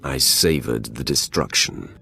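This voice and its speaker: An actor playing a ruthless, proud Sith apprentice in a video game, curt and threatening in delivery. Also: male voice, very deep voice, measured pace, vocal fry, bright, forceful tone, soft background music, heavily acted. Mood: cold. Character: ruthless young male Sith warrior apprentice, proud and wrathful. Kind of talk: cinematic game voice acting.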